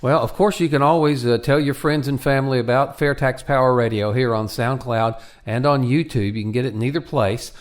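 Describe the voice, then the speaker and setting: Mid-pitched man co-hosting a radio program. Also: brisk, hardly any pauses. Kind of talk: casual promotional chat.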